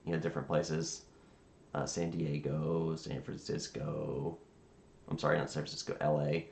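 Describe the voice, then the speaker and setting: A man streaming as a virtual air traffic controller, chatting casually in a halting, rambling way as he thinks aloud. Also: a bass voice, gravelly, darkish tone. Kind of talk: casual livestream chat. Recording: roomy sound with faint background noise.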